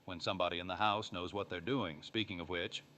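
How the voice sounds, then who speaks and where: A man's voice: deep voice, fairly even intonation, fairly quick, pushed and bright. A man playing a folksy, grumbling 1950s sitcom father who narrates in a dry, wry, plain-spoken way. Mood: measured, matter-of-fact. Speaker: show host. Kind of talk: scripted narration.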